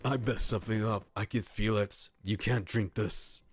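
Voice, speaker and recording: A man's voice: on the low side, fairly even intonation, normal speed, voice pushed up, natural voice. A man voicing an anxious, apologetic skeletal cafe worker in a visual novel, fretful and earnest. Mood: worried. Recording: music playing behind the voice.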